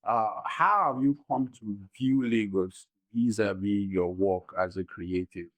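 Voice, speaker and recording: A man asking interview questions conversationally, in measured phrases broken by pauses. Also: lowish voice, a bit bright, dry recording. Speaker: podcast interviewer.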